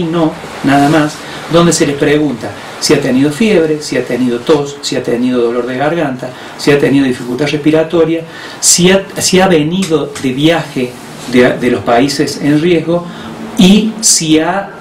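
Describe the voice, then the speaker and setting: A man with an Argentine accent, a hospital director who explains health measures on the radio in a measured way, with frequent hesitations. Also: a little high. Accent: Argentine Spanish. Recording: roomy distant mic with faint noise.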